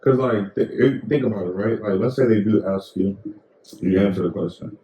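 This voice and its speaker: A man's voice: on the low side, normal speed, echoey room, highs cut off. A young man co-hosting a podcast in casual, rambling chat full of slang and fillers. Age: young adult. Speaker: podcast co-host.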